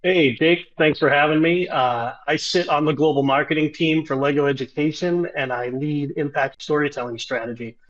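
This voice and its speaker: A man's voice: fairly high pitch, steady tone, normal speed, few pauses. A man in marketing talking in a friendly, enthusiastic way on a panel. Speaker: marketing lead. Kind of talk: casual panel talk. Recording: lo-fi call audio.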